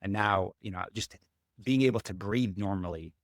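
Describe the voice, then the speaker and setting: Man, low voice, podcast host, thoughtful, hesitant, dry close sound. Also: normal speed, dry recording. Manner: thoughtful, hesitant with repeated starts. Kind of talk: conversational interview.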